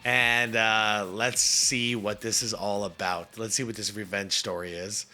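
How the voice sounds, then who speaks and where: A man with a hoarse voice from a cold, chatting casually with many fillers as he hosts a reaction video. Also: fairly low pitch, fairly even intonation, unhurried, bright, forceful tone.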